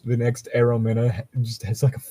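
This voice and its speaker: A man's voice: medium pitch, completely flat delivery, fast talker, mellow, no echo. A man chatting casually as a co-host, rambling through commentary and swearing freely.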